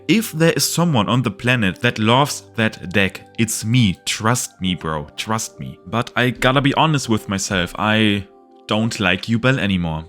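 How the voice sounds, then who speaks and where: A lower-voiced man with a German accent rambles in a casual video monologue. His talk is loose and candid, with an occasional swear word. Soft music plays faintly underneath. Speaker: card game YouTuber.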